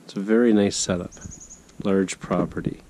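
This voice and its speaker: A man working as a real estate agent, chatting casually as he guides the viewer on a walkthrough, a bit hoarse from a cold. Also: low voice, steady tone, normal speed, warm tone, rough recording. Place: outdoors, walking around a property in the cold. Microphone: handheld camera while walking. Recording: outdoor noise with passing vehicles.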